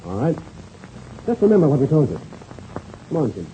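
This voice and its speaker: A stern, principled lead pilot in an old radio adventure serial. His voice is very mellow and fairly high, with lively intonation and a firm lecturing manner, on a muffled old recording. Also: a little fast, a little hiss or hum. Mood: stern, scolding. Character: stern, principled male race pilot, the leader. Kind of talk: 1930s radio serial acting.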